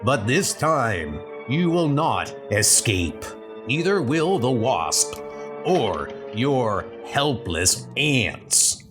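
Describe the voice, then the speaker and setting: Man playing a slow, gloating comic-book supervillain. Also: mid-range voice, very animated, over music, voice pushed down. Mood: gloating menace. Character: villainous armored weapons engineer, male, embittered and menacing.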